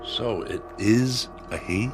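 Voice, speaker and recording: A man's voice: on the low side, slow pace, faint music behind. A man playing a chatty, curious diner owner in a radio drama, with a folksy, plain-spoken way of talking. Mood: curious, probing. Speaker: actor in a radio play.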